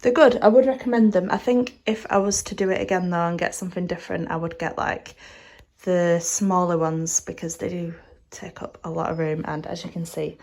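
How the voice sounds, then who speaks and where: A woman with a slightly low voice gives a relaxed, meandering tour of her small studio. She sounds nasal and bunged up from a cold, and her talk wanders along with lots of little fillers. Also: vocal fry. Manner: chatty and rambling, full of "like" fillers. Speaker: artist vlogger. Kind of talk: casual studio tour vlog. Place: small home art studio.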